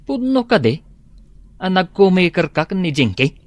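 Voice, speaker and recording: A man's voice: high-pitched, big pitch swings, moderate pace, no room sound. An actor playing a friendly neighbor and godfather who gives a warning in a radio drama.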